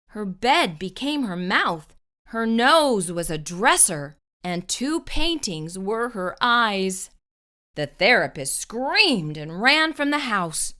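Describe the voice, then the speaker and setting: Woman for children's read-aloud: higher voice, unhurried, expressive storybook delivery with deliberate pauses.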